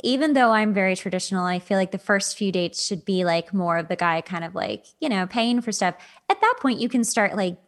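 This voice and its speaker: A woman's voice: mid-range voice, a little fast. A woman hosting a podcast, talking casually about personal advice in a conversational way, repeating words for emphasis. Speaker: podcast host. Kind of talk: casual dating advice chat.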